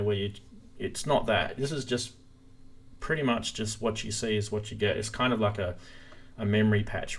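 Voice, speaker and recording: Casual man presenting a live stream demo. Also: on the low side. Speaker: host of a synthesizer live stream. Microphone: stream microphone.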